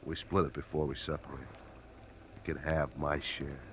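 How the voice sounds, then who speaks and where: An actor playing a defeated American robber in a 1950s radio drama, speaking in a resigned, subdued way. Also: male voice, a bass voice, little pitch movement, normal speed. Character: defeated male robber confessing to police. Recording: muffled, slightly noisy vintage radio recording.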